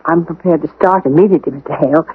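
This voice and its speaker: An actress playing a prim, talkative, unflappable spinster housekeeper with formal, precise speech in an old-time radio drama. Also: female voice, deep voice, very fast, husky. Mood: brisk, persuasive. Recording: muffled, narrow-band old radio broadcast.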